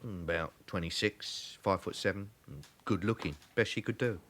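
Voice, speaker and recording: An actor playing a businesslike British police detective in a radio drama, reporting in terse, factual phrases. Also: male voice, sits low, moderate pace, a bit bright, a little hiss or hum. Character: brisk, businesslike London male police detective.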